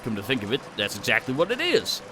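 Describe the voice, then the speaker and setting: A man's voice: mid-range voice, huge pitch swings, fast talker, noisy background, pitched below the performer's own voice. A man voicing a cheerful, folksy male hobo with an easygoing country drawl.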